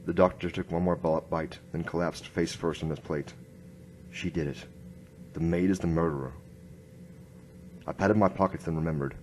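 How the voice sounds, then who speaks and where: A man playing a grim, hard-boiled noir inspector, narrating his case in clipped, deadpan radio-drama style. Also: low voice, moderate pace, pauses often, a little hiss or hum, natural voice. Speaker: narrator and lead actor.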